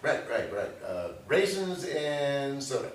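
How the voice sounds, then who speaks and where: A man's voice: mid-range voice, very animated, takes it slowly. A man acting a folksy grumbling husband, echoey. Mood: distracted, curious. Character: grumbling, folksy, nostalgic husband of sixty-five. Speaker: actor in a staged reading. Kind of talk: live stage play reading. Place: indoor live staged reading. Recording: echoey room from far off, faint noise.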